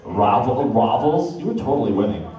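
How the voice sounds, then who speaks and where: A man's voice: mid-range voice, big pitch swings, normal speed, mellow. A man in his twenties bantering playfully as a convention panelist, often jumping in with jokes. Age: 20s. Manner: playful, interjecting. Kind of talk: casual, joking panel banter. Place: large hotel convention panel room. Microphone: far audience camera. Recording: very echoey hall, distant camera, audience crowd.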